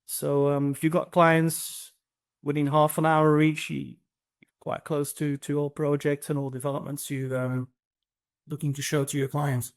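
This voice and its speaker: A man's voice: on the high side, flat delivery, unhurried. Flemish-accented man, hesitant and filler-heavy. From Belgium. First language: Dutch. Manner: hesitant, many ums and restarts. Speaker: real estate agency CEO. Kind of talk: casual interview answers.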